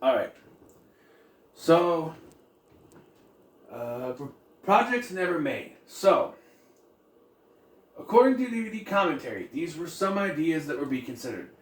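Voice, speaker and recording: A man giving a casual, rambling, improvised recap as a reviewer of an animated series. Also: a little high, unhurried, long pauses. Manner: loose, improvised, acting out quoted bits. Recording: faint background noise.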